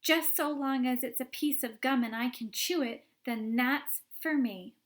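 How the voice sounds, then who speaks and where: Lady doing a bratty gum-chewing kid, loud and bossy, high voice. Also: unhurried. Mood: demanding. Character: spoiled, stubborn gum-chewing young girl.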